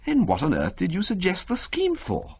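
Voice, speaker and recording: A man voicing a chatty, flustered young gentleman who talks in a breezy, fumbling way. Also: on the high side, huge pitch swings, moderate pace, slightly rough, voice pushed up, heavily acted. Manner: breezy, fumbling, full of uhs. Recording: slightly muffled lo-fi audio.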